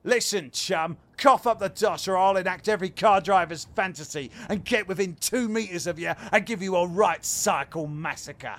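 An actor with a Cockney accent playing a cocky, rude highwayman who threatens travellers. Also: moderate pace, hardly any pauses, close to an everyday voice. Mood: menacing. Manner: menacing, sneering.

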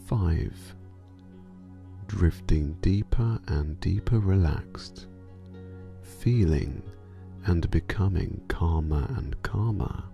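A man guiding a sleep meditation in a soothing, hypnotic manner, drawing out his phrases and letting endings fall soft and breathy. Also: sits low, drawn-out words, soft background music. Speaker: meditation guide.

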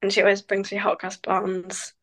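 A woman, speaking as a guest on a casual interview. Her pitch sits mid-range. She answers in a relaxed but halting way, full of fillers and restarts. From New Zealand. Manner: hesitant, many fillers, relaxed. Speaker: guest, professional cyclist.

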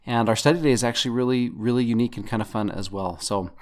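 A man's voice: mid-range voice, fast talker, a little mellow. A man in his thirties hosting a casual scripture podcast with his wife, sometimes joking in a mock radio-announcer way. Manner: playful, mock radio-announcer delivery at times. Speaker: podcast host.

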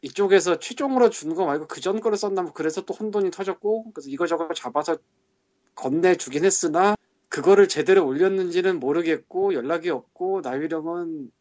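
A man's voice: a little high, brisk, a little mellow. Grumbling exasperated man, lo-fi audio. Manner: exasperated, grumbling, swears under breath. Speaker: podcast co-host, game translator. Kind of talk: casual podcast chat.

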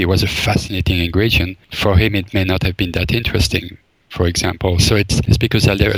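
Low-voiced Belgian-born man, dry sound. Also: fairly bright tone, no echo, rough recording. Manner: thoughtful, many fillers and restarts. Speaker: forager and wild food chef, guest. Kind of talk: conversational interview answers.

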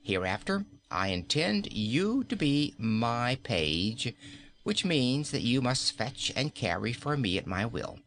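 A man's voice: medium pitch, sing-song, takes it slowly, a bit bright, heavily acted. A man reading a storybook aloud, voicing a cruel queen who teases and gives orders in an imperious way. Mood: mocking. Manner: teasing, imperious. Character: cruel, mocking queen, a woman. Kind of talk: audiobook character voice.

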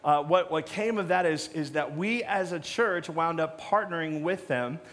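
A man's voice: fairly high pitch, normal speed, a bit bright. A middle-aged American man speaking as a pastor, conversational and anecdotal, in an informal talk to his congregation. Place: church stage.

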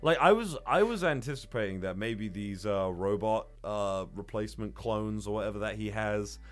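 A man's voice: on the low side, normal speed, faint music behind. A man co-hosting a casual podcast, recapping a story in a rambling, hesitant way with some swearing. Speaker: podcast co-host. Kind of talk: casual manga recap discussion.

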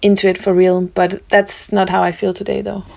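Gravelly woman, hesitant and thoughtful. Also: medium pitch, little pitch movement, moderate pace, darkish tone, a little hiss or hum. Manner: hesitant, with many fillers and restarts. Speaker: guest musician. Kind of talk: casual conversation.

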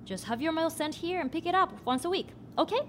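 A woman's voice: extremely high pitch, animated, fast, a little hiss or hum. An actress playing a curt, businesslike young woman in a naturalistic audio drama, blunt and matter-of-fact. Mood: brisk, impatient. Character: curt, businesslike young immigrant woman arranging a sham marriage.

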